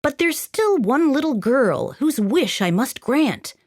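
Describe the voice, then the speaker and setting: A kindly humbug wizard in a fairy tale, played by a woman. He is generous and reassuring, with animated pitch and a dry, close recording. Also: slow pace, no room sound, full character voice.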